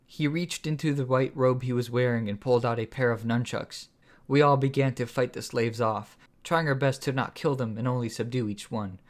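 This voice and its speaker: A man narrates a scripted story for an audiobook. His voice sits at a medium pitch as he reads his own writing. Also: fairly even intonation, normal speed. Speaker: author reading own audiobook.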